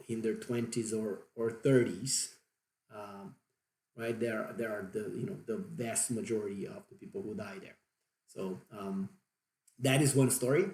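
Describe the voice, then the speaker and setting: A man's voice: fairly low pitch, creaky, darkish tone, reverberant. A Brazilian man in his forties explaining at length with many fillers and restarts, in a Brazilian accent. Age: 40s. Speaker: diving vlogger. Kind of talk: unscripted explanation.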